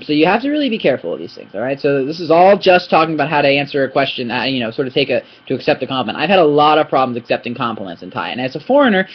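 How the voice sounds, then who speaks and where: Fast-talking young man on a webcam. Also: mid-range voice, slightly rough. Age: young adult. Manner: rambling and animated, with many restarts and asides. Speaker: Thai language teacher. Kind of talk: casual webcam lesson. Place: indoor living room, on a couch. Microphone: laptop webcam. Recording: dull lo-fi webcam audio.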